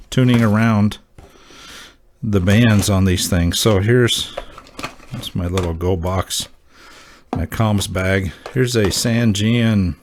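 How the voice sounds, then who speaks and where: A man hosting a hobby gear video, talking casually and off the cuff in a halting, folksy, informal way. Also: deep voice. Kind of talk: casual show-and-tell talk.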